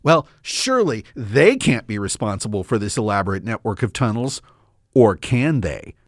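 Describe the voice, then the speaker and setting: A man's voice: on the low side, big pitch swings, normal speed, dry recording, clean studio sound. A man playing a cautious but loyal small-town adventurer in an audio drama. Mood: intrigued.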